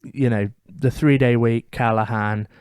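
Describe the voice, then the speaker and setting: A man's voice: mid-range voice, fairly even intonation, unhurried, a little mellow, smooth, dry recording, clean studio sound. A British man co-hosting a comedy podcast, casually trading opinions in conversation. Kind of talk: casual chat about opinions.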